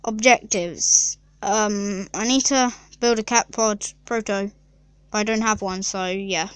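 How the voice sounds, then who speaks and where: A woman's voice: mid-range voice, fairly even intonation, slow pace, clean tone, no room sound. A young female gaming YouTuber chatting casually and rambling through a mobile game, with lots of fillers and giggles.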